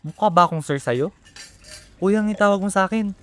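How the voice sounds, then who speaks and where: A man's voice: high-pitched, a little fast, slight background noise, close to an everyday voice. A man with a Filipino accent playing a stern, prickly, protective older brother in an amateur radio drama. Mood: stern, probing.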